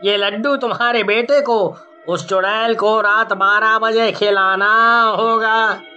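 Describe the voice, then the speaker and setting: A man playing a grave, authoritative priest in a cartoon horror story, advising in a deliberate manner. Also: sits high, steady tone, bright, forceful tone, highs cut off, soft background music, full character voice. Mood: grave, instructing.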